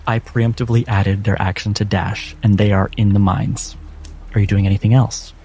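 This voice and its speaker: A man acting as game master, narrating a tabletop game with dramatic, deliberate phrasing. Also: on the low side, little pitch movement, darkish tone, a little hiss or hum. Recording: music behind the voice. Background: background music.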